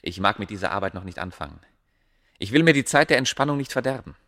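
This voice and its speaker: A man playing a proud, secretive scholar of ancient Egypt in a radio play, speaking in a measured, formal way. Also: on the low side, animated, fairly bright tone. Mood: brooding, ambitious.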